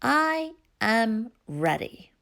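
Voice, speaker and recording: A woman's voice: a little high, sing-song, drawn-out words, creaky, fairly bright tone, voice pushed up, full character voice. A woman voicing a kindly, helpful male frog friend in a children's storybook, in an encouraging manner. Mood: agreeable.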